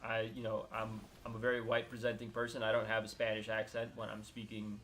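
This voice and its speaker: A man's voice: on the low side, monotone, brisk, a bit bright, slight background noise. A young man from Miami chatting casually as a co-host, rambling with lots of filler words and restarts. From Miami, United States. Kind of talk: casual chat.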